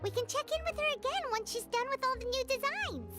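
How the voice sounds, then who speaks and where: Squeaky, tiny childlike fairy companion. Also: female voice, animated, fairly quick. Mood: cheerful, eager. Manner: speaks of herself in the third person. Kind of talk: anime-style video game acting. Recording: loud music behind the voice. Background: music.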